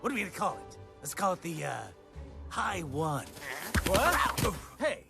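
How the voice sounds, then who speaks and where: A man voicing a bold, bossy, impulsive little penguin leader in a cartoon, brash and excitable. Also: high voice, a little slow, gravelly, music in the background, heavily acted. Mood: brash, excited. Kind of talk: animated film comedy acting.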